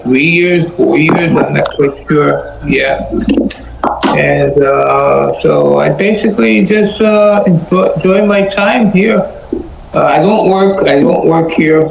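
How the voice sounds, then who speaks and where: A man in his seventies chats plainly in a slightly high voice, repeating himself. The call sounds echoey. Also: gravelly. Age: 70s. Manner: repeats phrases, plain-spoken. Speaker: retired participant in an English group. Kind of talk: casual chat. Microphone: video call. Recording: echoey online call, faint noise.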